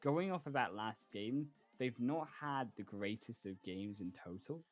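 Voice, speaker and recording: A man's voice: medium pitch, animated, measured pace, faint music behind. Confident male esports caster. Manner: fluent, confident, upbeat. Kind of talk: esports analysis and sign-off.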